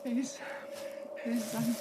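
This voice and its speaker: An actress playing a shaken, suspicious young hotel assistant manager, asking hesitant, probing questions in a radio play. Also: female voice, fairly high pitch, flat delivery, extremely slow. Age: young adult. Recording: noisy recording with background ambience.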